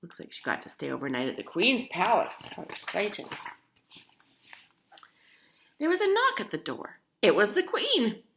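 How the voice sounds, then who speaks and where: A woman's voice: mid-range voice, brisk, slight background noise. A woman reading a children's picture book aloud expressively, talking to young listeners as she goes. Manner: animated, addresses child listeners with questions. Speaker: storybook reader.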